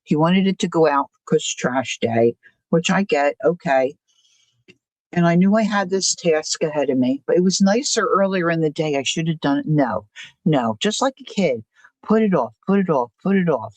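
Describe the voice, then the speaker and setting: A woman's voice: low-pitched, animated, normal speed, darkish tone, no room sound. An older woman hosting a livestream, chatting casually and rambling through a story. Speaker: livestream host. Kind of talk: casual chat.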